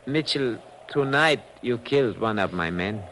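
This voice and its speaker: An actor playing a fussily polite, self-pitying little gang boss with quiet menace in a 1950 radio thriller. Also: male voice, medium pitch, animated, measured pace. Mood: plaintive menace. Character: meek-sounding, mock-apologetic little villain, coolly murderous gang boss. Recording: noisy old 1950 radio recording.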